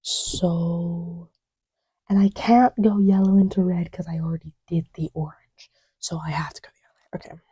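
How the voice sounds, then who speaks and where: A woman playing an easygoing girlfriend in a soft ASMR roleplay, rambling hesitantly in a breathy murmur that often drops to a whisper. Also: on the low side, steady tone, moderate pace, dry recording. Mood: relaxed, musing. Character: easygoing girlfriend chatting idly while testing markers.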